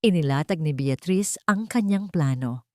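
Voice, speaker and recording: Female narrator for dramatic romance audiobook, low register, dry studio-like sound. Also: expressive, normal speed, no room sound. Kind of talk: dramatic scripted narration of a Tagalog love story.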